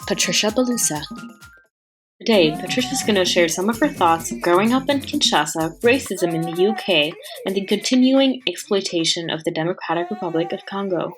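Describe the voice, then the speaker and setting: A woman with a mid-pitched voice delivers a scripted podcast introduction. Faint music plays behind her narration. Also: expressive, brisk. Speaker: podcast host.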